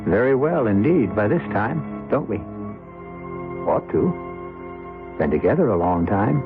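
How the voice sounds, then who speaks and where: A man hosting and narrating a radio mystery in a deliberate, suave, ominous way with dramatic pauses. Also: lowish voice, sing-song. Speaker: host and narrator. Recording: muffled old radio broadcast over music.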